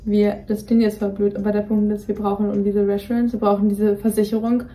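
Young female co-host, mellow voice, rambly casual delivery, roomy distant sound. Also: mid-range voice, monotone, a little fast. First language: Greek is partly her home language. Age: young adult. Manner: rambling, many fillers and restarts. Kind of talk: casual chat. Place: dim bedroom, indoors. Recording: roomy echo, voice somewhat distant from mic.

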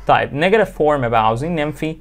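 Fast, clear-voiced Egyptian English teacher. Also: male voice, fairly high pitch, expressive. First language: Arabic. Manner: explains and repeats example sentences slowly, fast asides. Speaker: English instructor. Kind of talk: online grammar lesson, mixing Arabic and English. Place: small room indoors.

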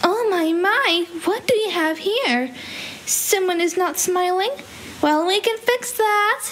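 A woman's voice: very high voice, expressive, slow pace, a bit bright, full character voice. A young woman voicing a manically cheerful, deranged female cartoon villain creature with a gleeful, sinister, coaxing delivery. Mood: sweetly coaxing. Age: young adult. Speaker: amateur voice actor. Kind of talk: theatrical character voice lines. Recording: faint hiss, home recording.

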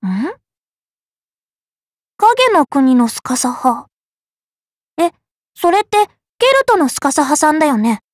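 A woman's voice: high voice, big pitch swings, takes it slowly, pauses often, dry recording, studio quality, full character voice. A woman playing a friendly, curious young valkyrie in an anime game, girlish and informal. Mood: inquisitive. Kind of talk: anime mobile game voice acting.

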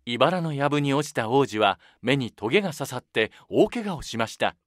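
A man's voice: medium pitch, sing-song, moderate pace, no echo. A man narrating a children's fairy tale from a script, as the storybook narrator.

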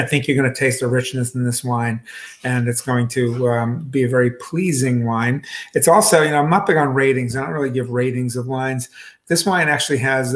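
Halting middle-aged man hosting a wine talk. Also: mid-range voice. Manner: halting, many fillers and restarts, gestures with hands. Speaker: wine club host. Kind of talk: casual wine tasting talk. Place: home kitchen. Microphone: video call webcam.

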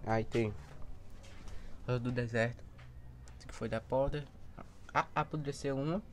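A young man with a cold and a hoarse voice, chatting casually as he films his plants outdoors on a handheld phone. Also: mid-range voice, unhurried, slight background noise. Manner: chatty, points things out to viewers. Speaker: plant vlogger. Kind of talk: casual walkthrough vlog. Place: outdoor backyard garden area. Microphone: handheld phone camera.

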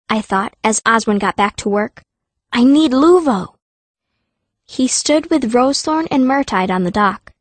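A woman's voice: on the high side, no echo. A woman playing a fierce, earnest young girl mage who narrates her own story in an audiobook. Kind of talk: scripted first-person audiobook narration.

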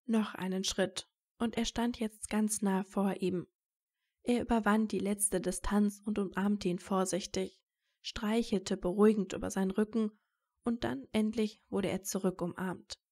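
A woman narrates a story from a script. Her voice sits at an average pitch for a woman, and she serves as the narrator of an audio drama. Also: steady tone, measured pace, a little mellow.

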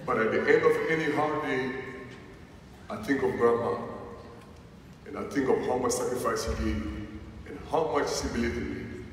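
A man in his thirties with a foreign accent and a deep voice, giving a slow, emphatic inspirational speech on stage in a large arena. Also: a little hiss or hum. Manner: slow, emphatic, preacher-like delivery. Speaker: motivational speaker. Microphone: headset or stage mic heard over PA from the crowd. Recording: echoey arena PA recorded from far seats.

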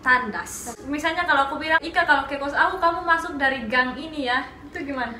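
Young woman vlog host, high bright voice, lively and giggly, in a roomy bedroom with a faint hum behind her. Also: fast. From Indonesia. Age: young adult. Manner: animated, laughing, playful storytelling. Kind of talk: casual chat. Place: small bedroom, indoors.

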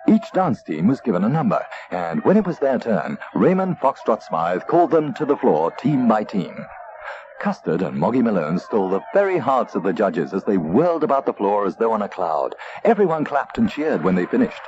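Hugely animated male children's storyteller. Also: fairly low pitch, moderate pace. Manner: expressive, theatrical. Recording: dull tape transfer, faint noise.

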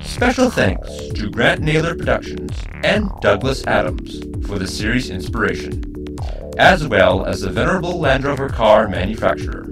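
A man's voice: mid-range voice, big pitch swings, normal speed, over music, rough recording. A man reading scripted credits as an announcer. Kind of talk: scripted narration.

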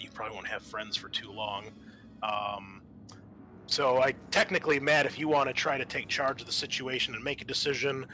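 A man acting as game master, explaining and narrating a tabletop game in a casual, halting way. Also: on the low side, sharp tone, slight background noise, poor audio.

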